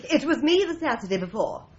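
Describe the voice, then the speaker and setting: Teasing British secretary, animated intonation. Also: female voice, high-pitched, moderate pace. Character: sharp-tongued, teasing female office secretary. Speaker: actor in a radio crime drama. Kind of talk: 1980s British radio drama acting. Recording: muffled radio drama recording.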